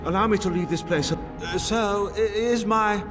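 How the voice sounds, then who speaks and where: An actor playing a slick, haggling slave trader in a video game, with smooth and theatrical delivery. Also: male voice, sits high, over music. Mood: panicked surrender. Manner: smooth bargaining, theatrical, deliberate. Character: slick haggling male slave trader, smug until cornered. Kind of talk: video game character acting. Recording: long hall reverb.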